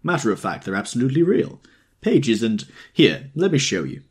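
Excitable drunk middle-aged man, insistent and repetitive, husky edge, voiced by a male narrator. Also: medium pitch, moderate pace, pitched above the performer's own voice. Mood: excited.

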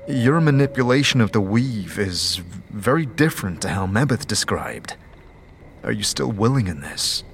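A man's voice: mid-range voice, a little hiss or hum. A man voicing a curious male wanderer in a plain, slightly hesitant way. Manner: plain, hesitant spoken dialogue.

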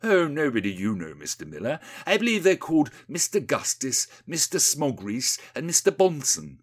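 A man's voice: mid-range voice, sing-song, normal speed, voice pushed up. A man voicing a creepy, condescending upper-class politician and undertaker with a pompous drawl in a satirical storybook parody. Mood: smug, menacing.

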